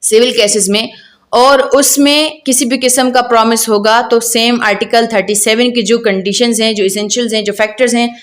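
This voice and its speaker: A woman's voice: on the high side, fairly even intonation, moderate pace. A woman with a Pakistani accent giving an explanatory law lecture, didactic, often posing questions and answering them herself. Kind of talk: explanatory law lecture mixing Urdu and English.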